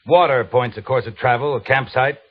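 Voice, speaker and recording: An actor playing a stern, exacting cavalry captain with a clipped, commanding manner in an old-time radio western. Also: male voice, medium pitch, fairly quick, lightly acted. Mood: curt, stern. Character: stern, exacting male cavalry captain, brusque, grudgingly fair. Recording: muffled old radio broadcast, audible hiss.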